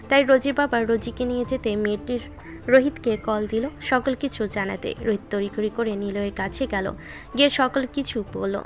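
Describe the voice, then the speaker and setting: A woman reading scripted narration of a romantic story, with music behind her voice. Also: on the high side.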